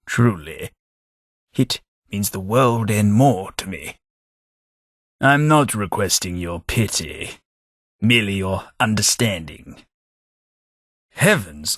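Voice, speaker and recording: A man's voice: on the low side, very animated, slow pace, long pauses, a bit bright, no echo, clean studio sound, heavily acted. An actor with an English accent playing a polite, dryly witty undead male clerk in a game, in refined speech. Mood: earnest. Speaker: companion character. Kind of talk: game voice lines.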